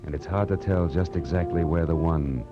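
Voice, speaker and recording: Male actor for weary American noir detective, clipped and wry, deep voice, muffled vintage radio sound. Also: normal speed, natural voice. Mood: brooding. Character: world-weary, hard-boiled male private detective. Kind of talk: 1940s radio noir acting and narration. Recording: dull 1940s radio recording with music.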